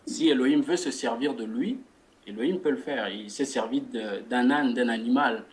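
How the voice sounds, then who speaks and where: Man, twenties, measured, religious interview guest. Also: mid-range voice, poor audio. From Democratic Republic of the Congo. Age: 20s. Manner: measured, repeats words while searching for phrasing. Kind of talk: religious interview and spoken prayer.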